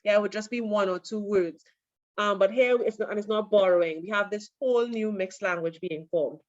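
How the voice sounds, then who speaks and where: A woman lecturer giving an online class, relaxed and full of fillers as she answers questions. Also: mid-range voice, a little fast, dry recording. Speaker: university lecturer. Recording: dull lo-fi online audio.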